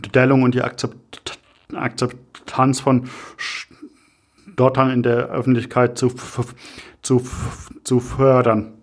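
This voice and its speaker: Slow, flat-voiced host who stutters. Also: male voice, medium pitch. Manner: stutters on sounds, speaks slowly and evenly. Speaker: podcast host. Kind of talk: interview questions.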